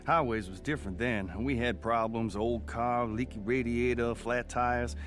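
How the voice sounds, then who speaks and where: A man's voice: medium pitch, fairly even intonation, normal speed, fairly bright tone, soft background music, natural voice. An actor playing a folksy man narrating memories of his rural boyhood in an old-time radio drama. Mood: reminiscent. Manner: plain-spoken, reminiscing storyteller.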